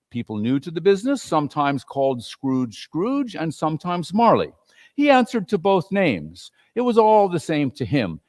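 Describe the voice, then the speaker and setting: A man narrating a classic story as a dramatic reading, with a theatrical, emphatic delivery. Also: medium pitch. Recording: reverberant hall, live reading.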